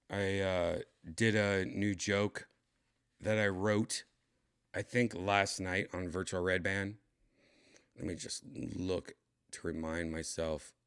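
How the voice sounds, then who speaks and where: A tipsy man with a raspy, dry-throated voice, chatting casually as a comedian hosting his livestream, rambling and clearing his throat often. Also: deep voice, a bit bright. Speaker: comedian streaming host.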